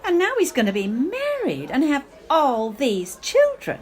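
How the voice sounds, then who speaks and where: A woman's voice: high voice, huge pitch swings, measured pace, darkish tone, heavily acted. A woman acting a melodramatic, self-pitying, clinging middle-aged mother in a radio play, with theatrical, affected speech. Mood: doting, wistful. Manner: theatrical, affected, sprinkles in foreign phrases.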